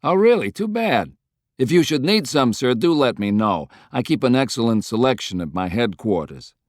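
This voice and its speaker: A man's voice: mid-range voice, very animated, moderate pace, dry recording, studio quality, natural voice. A man voicing a self-assured army general in an audiobook, formal and politely insolent. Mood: breezy insolence.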